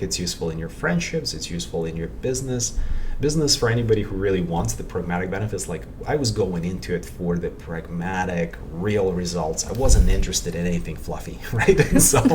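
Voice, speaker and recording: A man in his forties from Ukraine with a very low voice, speaking as a podcast guest. He talks reflectively, circling back and repeating words as he works out his thoughts. He is picked up from some distance, with steady noise behind him. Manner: reflective, thinks aloud, repeats words while searching for them. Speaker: guest, meditation practitioner. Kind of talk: casual podcast conversation. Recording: distant mic with clear background noise.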